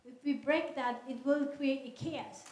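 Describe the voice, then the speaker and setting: A woman answering audience questions at a lecture in an earnest, measured way, speaking into a handheld microphone in a large hall. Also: sits high, fairly even intonation, darkish tone. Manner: earnest, measured, non-native phrasing. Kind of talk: public Q&A talk. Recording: echoey hall.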